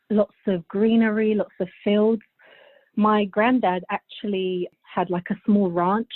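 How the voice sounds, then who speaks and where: A woman's voice: medium pitch, no room sound. Young woman on a dull, dry connection. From Bulgaria, moved to England as a child. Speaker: podcast guest. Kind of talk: casual interview chat.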